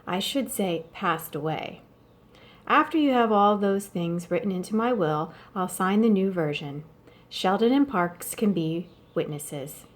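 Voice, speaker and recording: A woman's voice: mid-range voice, close to an everyday voice. A woman voicing a wry, bossy old wealthy woman from a storybook in a dry, matter-of-fact way. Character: wry, imperious old wealthy woman dictating her wishes.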